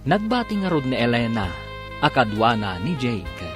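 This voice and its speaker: A man's voice: mid-range voice, big pitch swings, moderate pace. A man narrates a serialized radio drama in an Ilocano Filipino accent. His delivery swings expressively up and down, with prominent music playing beneath him. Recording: loud music bed under the voice. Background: loud background music.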